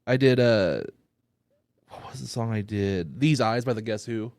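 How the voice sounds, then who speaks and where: A man, a stand-up comedian, chatting loosely on a podcast while high, rambling and cursing often. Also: a little slow, darkish tone, no room sound, clean studio sound. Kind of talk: casual podcast chat.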